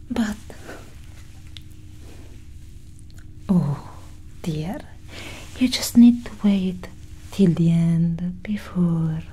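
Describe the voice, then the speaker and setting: A woman voicing a cool, curious female alien abductor in an ASMR roleplay, whispering softly and condescendingly close to the microphone. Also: on the low side, a little hiss or hum, low-quality recording. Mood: intrigued. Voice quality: breathy, husky whisper. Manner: slow, soft, condescending, intimate.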